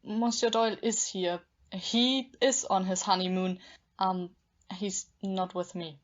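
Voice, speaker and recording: A woman's voice: mid-range voice, steady tone, slow, natural voice. A woman playing a bitter, obsessive young jilted woman with brittle politeness in an audio play. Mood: guarded, polite. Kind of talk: amateur audio play acting. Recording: dull, muffled lo-fi.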